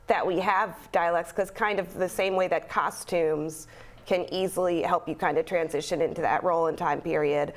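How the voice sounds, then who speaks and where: Chatty young actress, soft low voice. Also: female voice, steady tone, normal speed. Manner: chatty, many fillers, laughs while talking. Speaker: actor in a community theater play. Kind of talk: casual interview chat.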